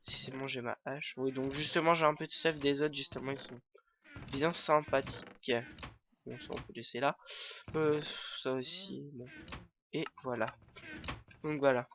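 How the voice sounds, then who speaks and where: A man's voice: medium pitch, steady tone, moderate pace, pauses often, fairly bright tone, a little hiss or hum. A man hosting a let's play with a stuffy nose from a cold, talking through his game in hesitant, filler-heavy rambles. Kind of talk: casual gameplay commentary.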